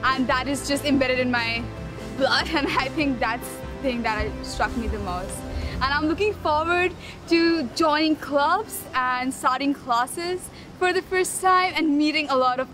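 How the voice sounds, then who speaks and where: A woman's voice: high voice, unhurried. A young woman from India, a new college student, giving a casual testimonial. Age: young adult. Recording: music bed behind the voice.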